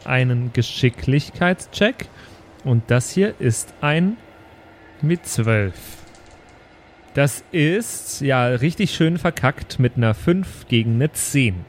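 A man's voice: mid-range voice, lively intonation, slow pace, slight background noise. A man chatting casually as a player in an improvised pen and paper audio play.

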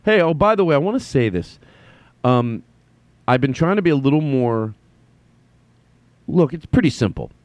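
Male solo podcast host, rambly, self-correcting, very animated pitch. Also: normal speed, long pauses, no echo. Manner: rambling, self-correcting, jumps between thoughts. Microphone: headphones on, studio mic.

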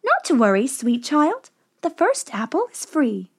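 A woman voicing a wicked witch who poses as an old woman, speaking slowly and menacingly. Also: high-pitched, wildly swooping pitch, voice pushed up, heavily acted. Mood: sweetly coaxing.

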